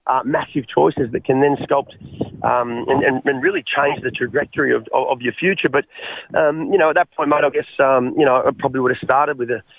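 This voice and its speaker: A man's voice: mid-range voice, animated, a little fast, gravelly, a little hiss or hum. A man in his forties with an Australian accent, a guest telling his life story over the phone in a rambling way, full of fillers and restarts. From Western Sydney, Australia. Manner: rambling, many fillers and restarts, calls the host mate. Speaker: guest sharing his testimony. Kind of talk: casual interview over the phone. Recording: tinny phone line, dry.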